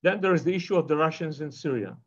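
A man's voice: fairly high pitch, expressive, fast talker. An older man from Israel giving an online lecture from his study, talking in a discursive way with many fillers and restarts. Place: home study with bookshelves. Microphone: video call webcam. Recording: dull lo-fi video call audio.

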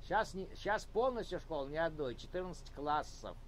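A man's voice: a little high, lively intonation, moderate pace, heavy background noise. A man hosting a casual video chat debate, patiently arguing and repeating his points, in a room at home.

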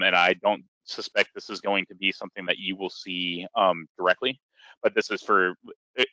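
A man working as a software trainer, explaining a screen step by step in an informal, instructional way for a webinar. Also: lowish voice, dry recording, rough recording.

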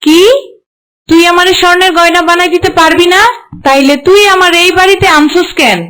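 A woman's voice: extremely high pitch, fairly even intonation, fairly quick, a bit bright. A woman voicing a demanding, hot-tempered young village wife in a cartoon, scolding. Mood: angry, threatening. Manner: scolding, commanding. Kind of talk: cartoon voice acting.

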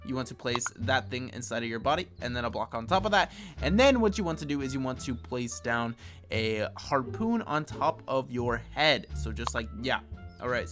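A man with a slightly raspy voice giving casual, chatty gameplay commentary as a video host, often circling back with little asides. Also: medium pitch, a bit bright. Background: game music.

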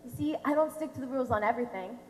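A woman's voice: a little high, lightly acted. A young woman playing a smug, pedantic, obstructive government clerk in a comic stage play. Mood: condescending. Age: teenager or young adult. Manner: pedantic, drawn-out deliberate delivery. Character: smug, officious, obstructive female DMV clerk. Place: theatre stage, recorded from a distance. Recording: echoey hall, noisy stage recording.

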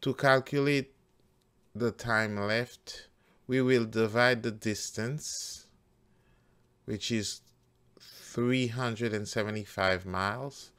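A man working through a math problem step by step as a teacher, deliberate and halting phrase by phrase. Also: on the low side, a bit bright, clean tone. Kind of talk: step-by-step worked-problem tutorial.

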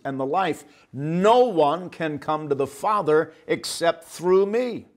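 A man's voice: a little high, gravelly, a little mellow. A man in his fifties preaching a Bible teaching to camera, emphatic, stretching out key phrases for stress. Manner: emphatic, gestures broadly, drawn-out stressed phrases. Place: indoor studio set with guitar on wall. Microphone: lapel mic, seated at desk facing camera.

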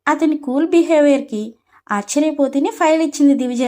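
High-pitched woman storyteller. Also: brisk, dark tone. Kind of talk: scripted story narration.

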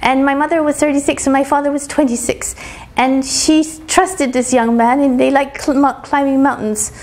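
High-voiced British-sounding woman, hesitant. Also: little pitch movement, normal speed, darkish tone. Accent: British-tinged. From British Columbia, Canada. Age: middle-aged. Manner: hesitant, trailing off mid-thought. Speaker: artist giving a talk. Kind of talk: informal artist talk to a small audience. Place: small hall with wooden furniture. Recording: live talk with faint background noise.

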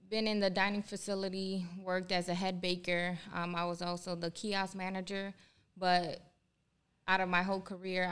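A young woman who grew up in Ohio with Spanish as her first language speaks into a close desk mic in a small studio. Her voice is fairly low, very clear and smooth. She keeps an even, flat tone and pauses often with "um". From Ohio, USA. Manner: hesitant, many "um"s. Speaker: guest, army culinary instructor. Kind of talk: casual interview. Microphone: desk mic on boom, headphones.

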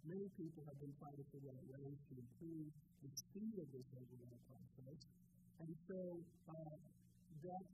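Middle-aged man lecturing, noisy room recording. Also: a little high. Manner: explanatory, step by step. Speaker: scientist presenting research. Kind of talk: technical conference lecture. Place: indoor lecture room at a podium.

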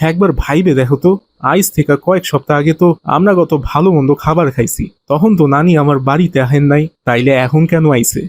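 A man's voice: fairly high pitch, fast, darkish tone, a little hiss or hum, close to an everyday voice. A man playing a poor, devout village husband in a cartoon, speaking earnest, pleading colloquial dialect with a Bangladeshi accent. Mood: reassuring, devout. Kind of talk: animated moral story dialogue.

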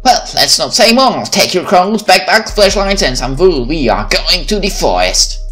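Male reader as teasing, energetic young adventurer in a visual novel, raised voice, faint music. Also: sits high, moderate pace, voice pushed up, full character voice. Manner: mocking, energetic. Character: cocky, teasing young man, eager for adventure.

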